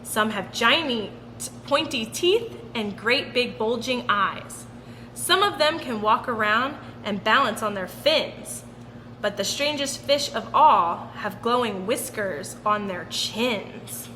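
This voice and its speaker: A woman reading a picture book aloud for children in an animated, sing-song storytelling manner. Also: fairly high pitch, takes it slowly. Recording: roomy long reverb with background noise.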